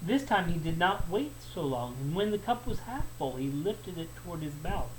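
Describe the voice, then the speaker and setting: A man narrating a folktale as a storyteller, in an expressive, deliberate and emphatic way. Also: fairly high pitch, low-quality recording. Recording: faint background noise.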